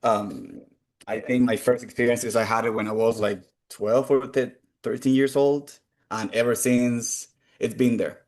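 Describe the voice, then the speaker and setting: A man's voice: mid-range voice, steady tone, measured pace, darkish tone. Earnest Cuban-born man. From Cuba. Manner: earnest, open and reflective. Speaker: guest. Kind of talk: conversational interview answers.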